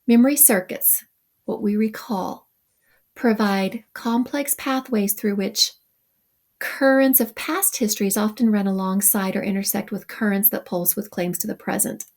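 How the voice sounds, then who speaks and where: A woman's voice: mid-range voice, vocal fry, a little mellow. A woman teacher reading a text aloud to her students, stopping for wry asides and explanations. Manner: reads passages then adds wry asides.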